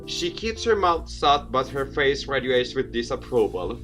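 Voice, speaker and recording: A man's voice: medium pitch, big pitch swings, measured pace, fairly bright tone, soft background music. Theatrical man reading a game aloud. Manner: theatrical, stumbles over written words. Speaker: video game let's player, host. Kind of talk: reading a visual novel aloud with casual commentary.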